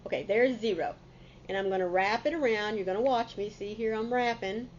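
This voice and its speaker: A woman's voice: mid-range voice, brisk, a little mellow, dull audio, slight background noise. A middle-aged woman, a bit congested from a cold, chatting casually and folksily to camera as a crafting channel host.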